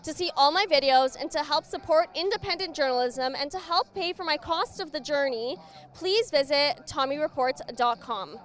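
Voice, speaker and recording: A woman reporter asking direct, probing questions and making a pitch to camera. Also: expressive, moderate pace. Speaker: independent reporter.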